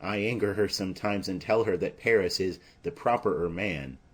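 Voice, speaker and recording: Man reading as a fussy low-voiced old nurse. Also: normal speed, slightly rough. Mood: chatty, fussy. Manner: rambling, exclaiming, flustered and indignant. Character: fussy, chattering older female nurse servant.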